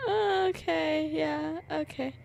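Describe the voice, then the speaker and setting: A woman's voice: high-pitched, steady tone, drawn-out words, vocal fry, a little mellow. A young woman doing casual, joking live commentary as a game streamer, reacting with sarcasm.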